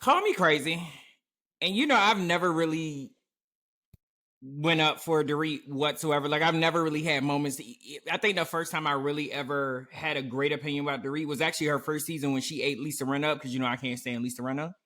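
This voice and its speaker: A man hosting a casual podcast chat, talking with animated energy and often quoting others in a raised voice. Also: on the high side, fairly quick. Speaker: podcast host. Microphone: remote recording setup.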